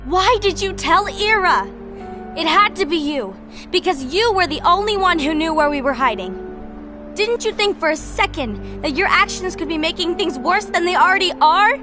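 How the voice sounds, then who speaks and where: A woman's voice: very high voice, expressive, moderate pace. An actress playing an earnest, anxious young woman who leads a union in a fantasy game. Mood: reproachful, defensive. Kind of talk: animated fantasy game cutscene acting. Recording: music behind the voice.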